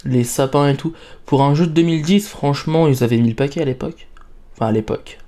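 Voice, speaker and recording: A young man chats casually over a video game into a close mic. His voice sits a little high but stays soft and mellow, and his manner is playful and self-mocking. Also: fast talker. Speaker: let's play gamer. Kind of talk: casual gameplay commentary.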